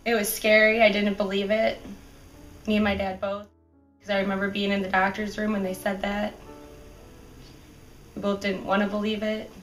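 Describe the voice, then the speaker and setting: A woman's voice: mid-range voice, level tone, moderate pace, long pauses, a bit bright. Young woman, distant and roomy. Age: 20s. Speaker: interviewee. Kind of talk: documentary interview. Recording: roomy, distant mic with music.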